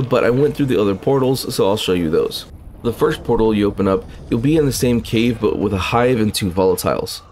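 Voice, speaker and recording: A man's voice: fairly low pitch, a little mellow, a little hiss or hum. A man reading a scripted video game walkthrough in a plain, instructional way. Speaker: gaming guide narrator. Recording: faint game ambience behind voice-over.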